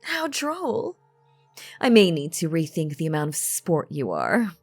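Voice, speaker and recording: A woman playing an elegant, calm and menacing vampire noblewoman in a scripted roleplay audio drama, teasing and condescending in genteel, formal phrases. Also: low-pitched, measured pace, over music, exaggerated voice. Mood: amused, menacing.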